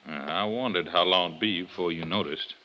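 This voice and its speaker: An actor playing a middle-aged veteran police detective with an American accent, dry and folksy in 1950s radio drama style. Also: male voice, deep voice, fairly quick, muffled recording, slight background noise, lightly acted. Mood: weary, baffled. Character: gruff, wry, baffled veteran male police detective.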